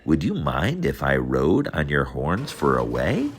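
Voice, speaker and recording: A man's voice: a bass voice, wildly swooping pitch, unhurried, slight background noise, heavily acted. A man voicing a small, cheerful male bug who talks in a breezy, chirpy way.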